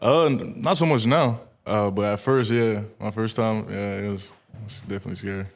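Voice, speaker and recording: A man's voice: on the low side, fairly even intonation, moderate pace, gravelly. A man in his late twenties, raised in the United States, chatting casually as a podcast guest in a studio. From United States, born in Ethiopia to a South Sudanese family. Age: late 20s. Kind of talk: casual conversational interview.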